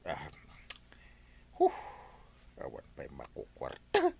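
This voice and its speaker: Sighing penniless Ilocano man, gravelly, hugely animated pitch, gloomy, comedy drama. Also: slow pace, slight background noise, full character voice. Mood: gloomy, irritated. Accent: Filipino, Ilocano. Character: gloomy, sighing broke village man, brooding over money. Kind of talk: broadcast comedy drama acting.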